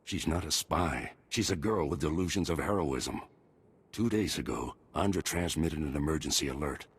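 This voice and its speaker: An actor playing a stern, worried middle-aged army major and father in a video game, gruff and commanding. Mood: bitter, worried. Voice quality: very deep, gravelly husky voice. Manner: gruff, commanding military delivery.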